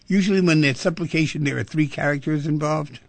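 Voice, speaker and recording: A man hosting a talk radio show, talking in an animated way and asking rhetorical questions. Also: a little high, a little fast, creaky, no echo.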